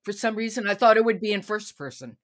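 A woman's voice: mid-range voice, animated, fast talker. A woman hosting a podcast, interviewing her guest in a conversational way. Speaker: podcast host.

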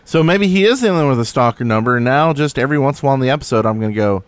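A man's voice: mid-range voice, animated, rapid-fire, no room sound. An American man co-hosting a podcast in casual, joking banter. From Alabama, United States. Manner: joking, playful. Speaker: podcast co-host.

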